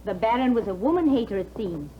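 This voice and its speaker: Brief: actress as a teasing young bride, bright and bouncy, dull 1950s broadcast sound. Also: female voice, a little high, lively intonation, fast, background noise, lightly acted. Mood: playful.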